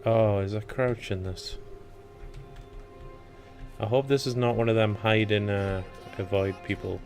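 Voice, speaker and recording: A man with a croaky voice casually commentating gameplay, rambling and thinking aloud. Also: on the low side, steady tone, measured pace, darkish tone, faint music behind. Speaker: let's play commentator.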